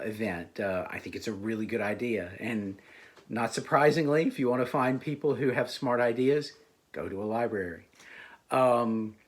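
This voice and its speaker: Male author, casual recorded greeting, hesitant with fillers, mid-pitched voice. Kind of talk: recorded message to a library audience.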